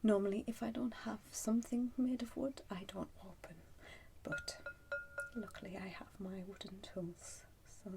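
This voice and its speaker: A woman whispering softly and breathily in an ASMR roleplay, pausing often as she addresses the listener as a customer. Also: medium pitch, huge pitch swings, vocal fry, background noise. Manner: slow, pausing, unhurried. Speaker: ASMR performer playing a soup shop keeper.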